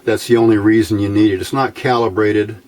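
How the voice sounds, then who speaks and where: A man's voice: lowish voice, level tone, a little fast, darkish tone. A man giving a casual, unscripted hands-on demonstration, explaining step by step in a plain, folksy way. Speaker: hobbyist presenter demonstrating electronics test equipment.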